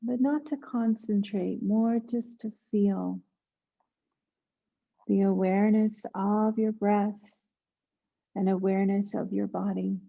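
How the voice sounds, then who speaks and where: Slow, gravelly woman guiding meditation. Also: medium pitch, fairly even intonation, lo-fi sound. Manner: hushed, soothing instruction with long pauses. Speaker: meditation guide. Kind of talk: guided yoga nidra meditation.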